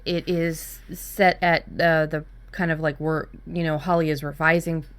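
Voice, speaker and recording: A woman's voice: low-pitched, little pitch movement, unhurried, clean tone. A woman co-hosting a workshop in casual, informal chat with a blunt way of talking. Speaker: workshop co-host.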